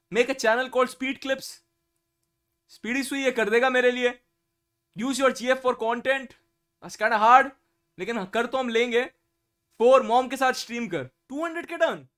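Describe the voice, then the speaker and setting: A young man talks to his live-stream audience in a strikingly high voice. He drawls his words slowly. His banter is profane, joking and loud. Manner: loud, profane, joking, mixes Hindi and English, says bro a lot. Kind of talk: casual live-stream chat.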